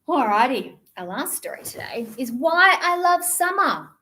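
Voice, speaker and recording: A woman's voice: sits high, very animated, unhurried, sharp tone. A woman presenting a children's storytime, reading a picture book in a playful, theatrical, sing-song way. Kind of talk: animated picture-book reading and action songs for young children.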